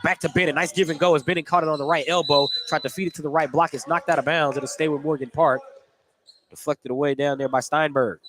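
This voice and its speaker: A man doing live radio play-by-play of a basketball game, describing the action in short running phrases on a headset mic. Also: mid-range voice. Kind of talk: live radio sports commentary. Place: indoor basketball gym. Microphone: headset microphone. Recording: gym noise behind headset commentary.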